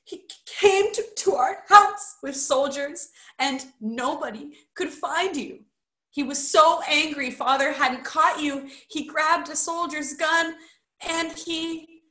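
Woman voicing a tearful, stammering young boy, high-pitched. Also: animated, a little slow, sharp tone, roomy sound, heavily acted. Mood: sobbing. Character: frightened, sobbing young boy putting on fierceness.

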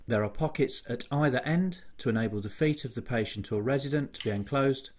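Husky man narrating safety training. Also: medium pitch, darkish tone. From United Kingdom. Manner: measured, formal, procedural. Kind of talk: scripted instructional voice-over.

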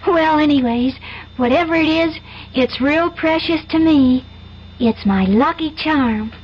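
A woman's voice: high-pitched, unhurried. An actress playing a stubborn young country girl in a radio drama. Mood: stubborn, curious. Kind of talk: 1970s radio drama acting. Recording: dull old radio recording, faint noise.

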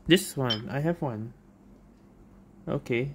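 A woman's voice: a bass voice, slow, slight background noise. A woman giving casual game playthrough commentary, musing to herself as she goes. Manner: musing to self. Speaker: game streamer. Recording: game music behind the voice.

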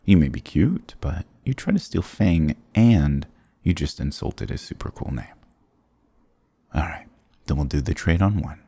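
Very deep, gravelly flirty goth guy, acted by a man. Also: expressive, measured pace, mellow, no echo, full character voice. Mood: mock-dramatic, teasing. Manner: teasing, affectionate, mock-dramatic. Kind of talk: intimate ASMR roleplay acting.

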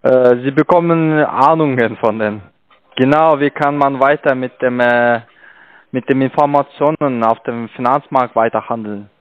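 Non-native man, foreign accent, call centre agent, halting, instructing, muffled lo-fi call, dry. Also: medium pitch, no room sound. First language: not German. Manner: halting, broken grammar, instructing. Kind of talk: sales phone call. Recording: dull lo-fi phone call, dry.

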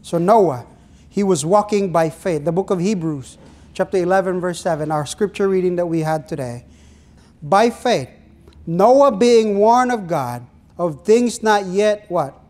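A man's voice: high-pitched, animated, a little mellow. Filipino pastor guy, middle-aged, earnest sermon, drags out phrases and quizzes the pews, small church, lapel mic. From Philippines. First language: Ilonggo. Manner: earnest preaching, drawn-out phrases, asks the congregation questions. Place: small church stage with pulpit.

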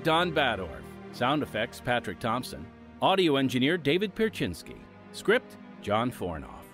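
Animated male radio announcer over music. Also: fairly high pitch. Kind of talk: scripted narration.

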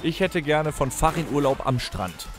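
A man's voice: a little high, lively intonation, normal speed, faint music behind. A man co-hosting a podcast, joking bluntly and swearing freely while guessing in a casual chat. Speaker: podcast co-host. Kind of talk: casual chat among friends.

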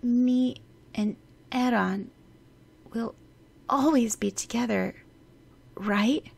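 A woman voicing a frail seven-year-old girl, speaking in a high, clingy, childlike voice. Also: extremely slow, gravelly, darkish tone, slightly rough, full character voice. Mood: timid. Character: sickly sweet little girl, seven.

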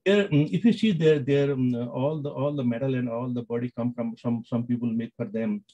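A man with a Nepali accent answering interview questions in halting English, full of fillers and restarts. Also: mid-range voice, steady tone, warm tone. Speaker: business executive guest. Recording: dull lo-fi sound.

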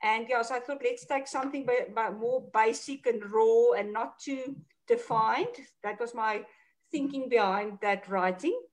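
A South African woman whose first language is Afrikaans, speaking as a guest on a video call panel in a quick, chatty way with many ums. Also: on the high side, rough recording. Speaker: hobby calligrapher, panel guest. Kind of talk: casual online panel talk.

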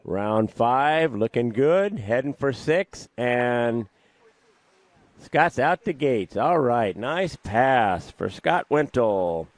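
A man announcing skier passes and official scores in a measured, matter-of-fact way. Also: mid-range voice, animated, no echo. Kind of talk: live sports announcing.

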